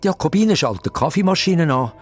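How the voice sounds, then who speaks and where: A man's voice: on the high side, animated, quick pace. A man with a Swiss accent narrating a children's radio play as a suspenseful story.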